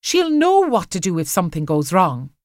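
Woman reader as a firm, lively, reassuring spinster sister. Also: on the low side, normal speed, no room sound, studio quality. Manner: firm, encouraging.

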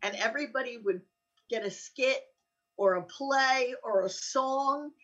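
Older American female, casual storyteller, joking and chatty, slightly husky, lo-fi sound. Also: high voice, measured pace, a bit bright, distant mic. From Allenhurst, New Jersey, United States. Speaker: co-host.

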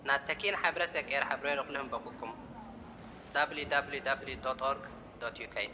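A man's voice: mid-range voice, rapid-fire, long reverb tail, noisy background, natural voice. A man acting in a radio play. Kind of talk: radio play dialogue and announcement.

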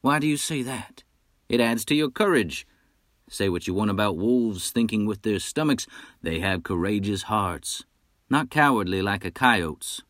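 A man voicing a loud, talkative male wolf hunter who brags in a folksy, brash way, in a storybook reading. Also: fairly low pitch, expressive, unhurried, no room sound, clean studio sound, voice pushed up. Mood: boastful.

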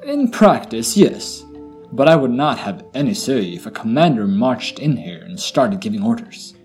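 A proud blood elf paladin, acted by a man, formal and refined in speech. He talks with lively intonation and a measured officer's composure, over a music bed, as in a fantasy audio drama. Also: mid-range voice, lightly acted. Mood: earnest, resolute.

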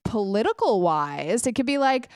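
Congested young woman rambling thru her podcast recs, smooth voice, dry close mic. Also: fairly high pitch, sing-song, dry recording. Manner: chatty, self-deprecating, quick asides. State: congested, clogged ear. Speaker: podcast host. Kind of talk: casual solo podcast chat.

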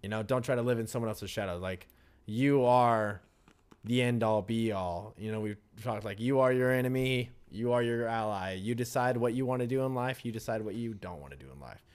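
A man in easygoing conversation, thinking aloud in long, loose sentences. He swears offhandedly and slips in jokes. His voice sits at an ordinary middle pitch. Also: brisk, smooth. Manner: loose, rambling, swears casually, jokey asides. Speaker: podcast co-host. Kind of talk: casual chat.

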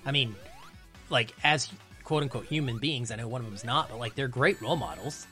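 A man with a medium-pitched voice speaks as a guest on a film podcast. He is casual but earnest, explaining his points as he goes. Faint music sits behind his voice. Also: brisk, pushed and bright.

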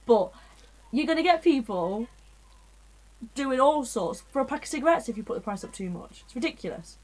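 A young woman talks casually as a podcast co-host. Her voice sits fairly high. She drifts off topic, trips over her own sentences and keeps breaking into giggles. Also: expressive, fairly quick, a little mellow, rough recording. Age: young adult. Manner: rambling, self-interrupting, giggly. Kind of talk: casual chat.

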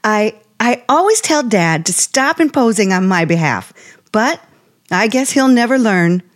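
An actress playing a timid, polite young aspiring writer, halting and nervous, in 1950s radio suspense style. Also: female voice, on the high side, lively intonation. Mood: polite, shy.

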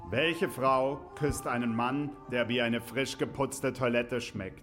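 A man playing a smug, slick husband pitching a product in a spoof radio advert. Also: steady tone, takes it slowly, lightly acted. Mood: smug, salesy. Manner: salesman-like, slick. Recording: loud music bed. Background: loud music.

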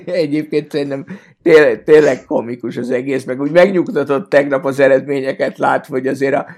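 A man's voice: mid-range voice, fairly even intonation, a little mellow. A man talking casually as a panelist on a football podcast.

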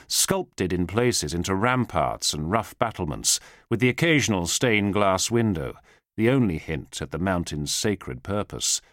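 A man reading a thriller audiobook as its narrator, in a measured, solemn, suspenseful way with weighted pauses. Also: on the low side, no echo, studio quality.

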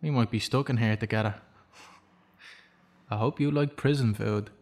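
A man's voice: mid-range voice, moderate pace, a little mellow, lightly acted. A man playing a remorseful, subdued, wryly resigned prisoner in a naturalistic audio drama. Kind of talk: naturalistic radio drama acting.

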